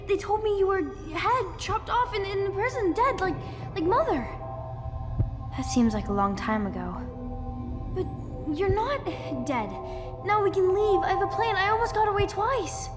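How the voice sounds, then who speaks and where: A high, very animated girlish voice belongs to a plucky young princess, with music behind. She is inquisitive, eager and childlike in the manner of game cutscene drama. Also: heavily acted. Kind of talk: video game cutscene acting.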